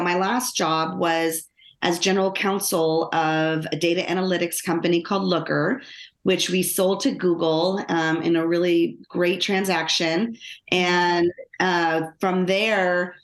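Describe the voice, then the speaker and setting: A middle-aged woman with an American accent, telling her own story conversationally as an interview guest. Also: on the low side. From Los Angeles, United States. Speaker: podcast guest, lawyer and author. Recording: roomy, echoing sound.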